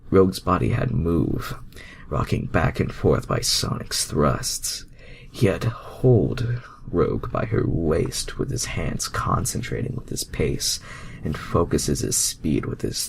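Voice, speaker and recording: A man's voice: very deep voice, gravelly, slightly rough. A man reading fan fiction aloud as a casual amateur narrator, stumbling over and misreading words.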